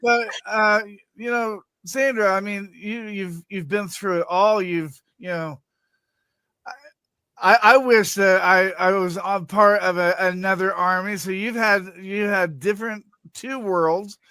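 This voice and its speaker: A man's voice: high voice, steady tone, unhurried, sharp tone, clean tone, no room sound. A man hosting a casual online talk show, stammering and restarting often and swearing now and then. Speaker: show host. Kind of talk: casual podcast chat.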